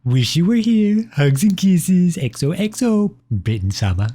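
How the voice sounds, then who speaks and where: A man's voice: high-pitched, big pitch swings, unhurried, mellow, voice pushed up, full character voice. Man acting a pompous, swooping, lovesick imperial suitor. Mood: smug, fond. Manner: pompous, condescending, sugary. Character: the British Empire as a pompous, possessive, cloyingly affectionate suitor. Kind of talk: scripted ASMR roleplay, letters read aloud.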